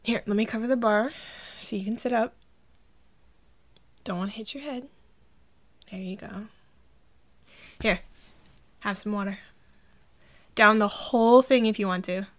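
A woman's voice: mid-range voice, measured pace, long pauses, no room sound, close to an everyday voice. A woman playing an affectionate, teasing girlfriend cheering on her man in a playful, encouraging ASMR roleplay. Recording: music behind the voice.

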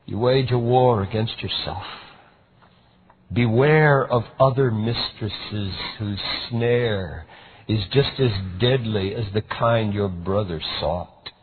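A man voicing a gentle, forgiving old father who speaks tenderly and earnestly. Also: fairly low pitch, slow, slight background noise. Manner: tender, pleading. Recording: tinny phone-like recording.

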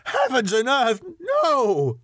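A man voicing an anguished young English lord in an audiobook, proud, indignant and high-strung. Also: huge pitch swings, slow pace, a bit bright, dry recording, clean studio sound, heavily acted. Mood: outraged.

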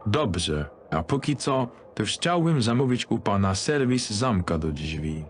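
A man playing a demanding dignitary customer in a dark fantasy audio drama, speaking in a cool, curt and haughty manner. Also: on the low side, sing-song, slow pace, a little hiss or hum.

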